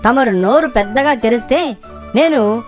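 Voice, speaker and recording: A woman's voice: a little high, a little slow, soft background music, lightly acted. A woman voicing a clever, cheeky monkey who feigns friendliness in a children's cartoon fable. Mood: calculating. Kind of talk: children's cartoon story acting.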